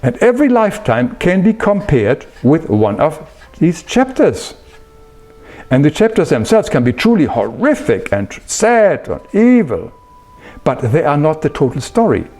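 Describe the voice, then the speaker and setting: Man with lively, higher-pitched teaching voice. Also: a little slow, soft background music. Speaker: spiritual teacher. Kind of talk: explanatory spiritual talk.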